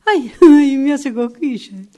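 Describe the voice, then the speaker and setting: A woman's voice: high-pitched, slow, mellow. A woman in an amateur radio drama playing a fussy, solicitous retired old sister with a Rioplatense Argentine accent, given to fretful exclamations. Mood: plaintive gossip.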